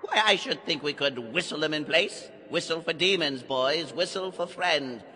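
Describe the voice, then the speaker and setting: An actor playing an excitable, gleefully eerie ringleader in an audio drama, exclaiming and urging in a declamatory way. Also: male voice, a little high, normal speed. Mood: sly, playful.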